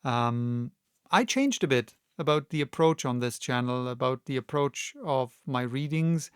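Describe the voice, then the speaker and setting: A man hosting and reading audiobooks, speaking deliberately in short, separated phrases. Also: mid-range voice, lively intonation, clean tone, dry recording, studio quality. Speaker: audiobook reader and channel host. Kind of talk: casual introduction and expressive audiobook narration.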